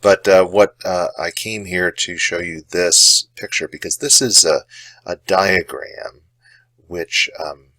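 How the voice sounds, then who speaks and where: Male hobby host, extremely low-pitched with a bright edge, gravelly, casual and halting in his explaining. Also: fairly even intonation, no echo. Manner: halting, hesitant delivery with frequent pauses and trailing phrases.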